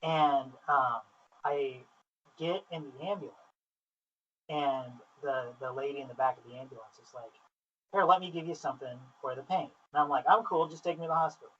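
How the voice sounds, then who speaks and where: A man interviewing casually and telling stories in an informal, sweary, excitable way, on a flawed low-quality recording. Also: moderate pace, husky. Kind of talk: casual chat, animated anecdotes. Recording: distant, muffled lo-fi, technical faults.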